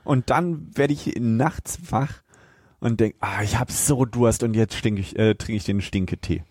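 A man's voice: on the low side, unhurried, a little mellow, no room sound, clean studio sound. A man co-hosting a podcast, chatting casually about a film in a colloquial, agreeable way. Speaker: podcast co-host.

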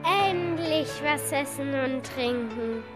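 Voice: high voice, takes it slowly, loud music behind the voice. A young performer playing a doubtful, weary child adventurer in a children's audio drama.

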